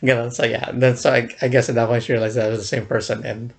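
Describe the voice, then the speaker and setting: A man's voice: medium pitch, level tone, quick pace, creaky. A young man casually recounting a fan event in a vlog, rambling with many fillers and restarts, often chuckling. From United States.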